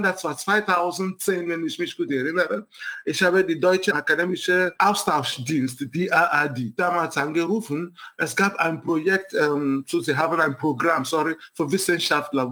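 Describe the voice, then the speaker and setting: Bright-voiced man with an African accent. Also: a little high. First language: not German. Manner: halting, many fillers and self-corrections. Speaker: guest. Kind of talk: personal story in a panel talk.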